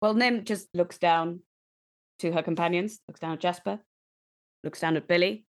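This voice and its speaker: A woman's voice: lowish voice, moderate pace. A woman playing a tabletop roleplaying game, casually improvising what their character does.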